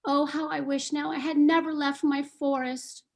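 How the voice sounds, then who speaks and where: A woman voicing a proud, sorrowful female unicorn in a table read of a stage play, speaking in a slow, earnest, questioning way. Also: high voice, flat delivery, creaky. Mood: bewildered.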